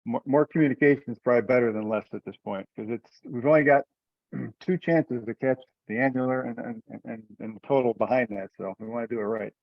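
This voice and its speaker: A man's voice: mid-range voice, fast talker, no echo. Man chairing a call, dull audio. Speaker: meeting chair. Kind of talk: conversational teleconference talk. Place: remote group conference call.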